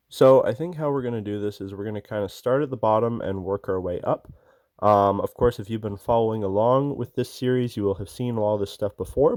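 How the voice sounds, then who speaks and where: A man's voice: on the low side, darkish tone, no room sound. A man hosting his hobby channel, walking through a finished model in a rambling, enthusiastic way with many fillers, a little sick and losing his voice. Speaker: miniature hobby channel host. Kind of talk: casual show-and-tell walkthrough.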